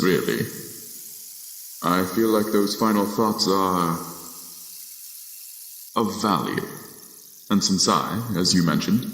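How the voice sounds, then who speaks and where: Man acting a slow, echoey, politely hesitant Death. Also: low-pitched, fairly bright tone, full character voice. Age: young adult. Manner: hesitant, understated, formal. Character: polite, unhurried Death-like collector, modest and businesslike. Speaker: student voice actor. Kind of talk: contemporary radio drama acting. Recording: echoey, long reverberant room sound.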